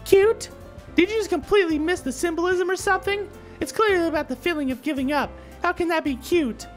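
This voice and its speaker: A woman's voice: very high-pitched, brisk. A woman voicing a snappy, indignant teenage schoolgirl in a visual novel, curt, sarcastic and defensive. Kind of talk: visual novel character dialogue read aloud. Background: soft background music.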